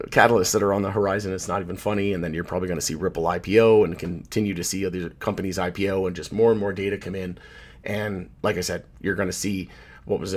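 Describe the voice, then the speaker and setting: A man hosting a casual market commentary, hoarse from losing his voice, rambling and correcting himself as he goes. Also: lowish voice. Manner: rambling, self-correcting, loose with fillers. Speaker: channel host, market commentator. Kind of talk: casual chart commentary to camera.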